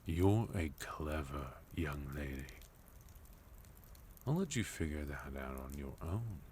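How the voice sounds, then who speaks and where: Casting: male actor as a suave villain leader for ASMR roleplay; extremely low pitch, courteous, composed, quietly threatening, faint background noise. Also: animated, full character voice. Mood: courteous, probing. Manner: measured, courteous, softly menacing, deliberate pauses. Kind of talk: intimate ASMR roleplay monologue.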